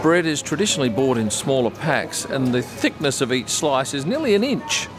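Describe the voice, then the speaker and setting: A man's voice: medium pitch, big pitch swings, moderate pace, over music. An Australian man in his sixties giving chatty travel vlog narration. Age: 60s. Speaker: travel vlogger.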